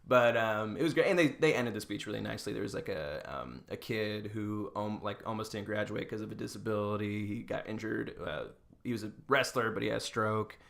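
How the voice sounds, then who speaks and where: A man's voice: fairly low pitch, fairly even intonation, brisk, vocal fry, fairly bright tone. A young man co-hosting a podcast, telling anecdotes casually with a jokey, mildly sarcastic manner. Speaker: podcast co-host.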